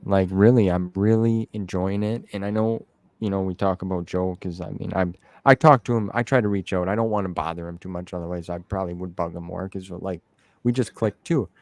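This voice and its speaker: A man's voice: low voice, steady tone, a little fast, gravelly, darkish tone, no room sound. A man hosting a casual interview, talking in an informal, rambling way peppered with slang like "man" and "dude". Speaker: interview host. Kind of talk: casual conversational interview chat.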